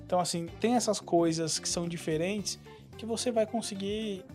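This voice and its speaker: A man from Brazil co-hosting a casual podcast, talking in a relaxed, agreeable way. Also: high-pitched, moderate pace, soft background music. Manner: relaxed, agreeable, leans on "cara" and "né". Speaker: podcast co-host. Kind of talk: casual chat.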